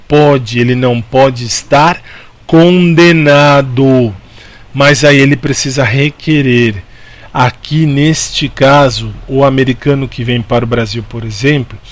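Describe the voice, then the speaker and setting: A Brazilian man giving an informal exam-prep law lecture, repeating key points and answering his own questions in a didactic way. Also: medium pitch. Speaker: law teacher.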